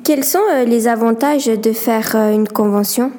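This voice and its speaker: A woman hosting a student radio show, reading interview questions with frequent hesitant pauses. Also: on the high side, warm tone.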